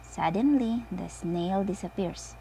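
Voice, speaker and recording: A woman reading a bedtime story aloud to children in an expressive manner. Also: medium pitch, slow, creaky, slight background noise, rough recording. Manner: expressive, with exaggerated character voices. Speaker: storybook reader.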